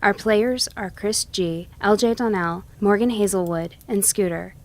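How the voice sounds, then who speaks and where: A woman with a medium-pitched voice narrates a storybook tale as host. Her scripted delivery is clear and measured.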